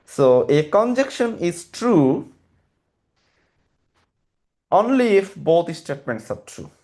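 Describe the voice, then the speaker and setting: Slow, halting male lecturer. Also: high voice, sing-song, a little mellow. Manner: repeats and rephrases, halting restarts. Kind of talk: slow lecture explaining slides.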